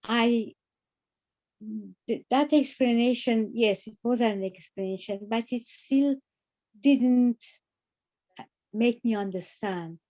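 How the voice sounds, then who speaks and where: A woman joins an online discussion to ask a careful question. She talks slowly with many pauses, in a dark, mellow voice. Her audio comes through dull and lo-fi over the call. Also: on the high side. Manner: slow, halting, careful. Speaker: participant asking a question. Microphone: video call microphone.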